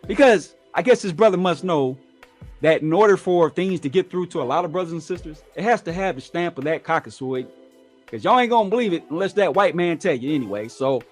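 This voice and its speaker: A man's voice: fairly high pitch, expressive, fairly quick, faint music behind. A man on a talk show, speaking in a preachy way and asking rhetorical questions.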